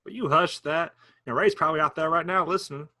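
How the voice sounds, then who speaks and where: A man's voice: on the high side, quick pace, sharp tone. A man reading the part of Dan, a weary, guarded farmer who talks in short plain replies with a Kentucky accent, in a staged play reading. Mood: low, tired. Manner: laconic, plain rural talk.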